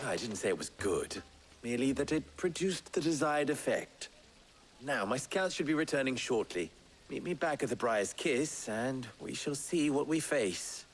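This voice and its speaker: An actor playing an eloquent, wry, calculating town schemer in a video game, speaking in florid, measured phrases with dry wit. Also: male voice, mid-range voice, very animated, close to an everyday voice, low-quality recording. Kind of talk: video game cutscene acting.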